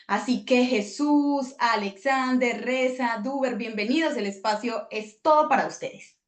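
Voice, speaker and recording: A woman's voice: fairly high pitch, measured pace, echoey room. A woman hosting an online book presentation, chatting effusively with the authors. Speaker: host.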